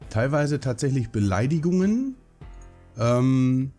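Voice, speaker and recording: Chatty man with a cold, mellow voice. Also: mid-range voice, sing-song, slow, soft background music. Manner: chatty, rambling, swears casually, many fillers. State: hoarse from a cold. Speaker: gaming channel host. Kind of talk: casual Minecraft let's play commentary.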